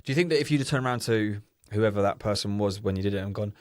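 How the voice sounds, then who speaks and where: A man's voice: fairly low pitch, rapid-fire, a little mellow, dry recording. A blunt man hosting a podcast, teasing his guest and swearing freely in casual chat on a studio mic. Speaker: podcast host.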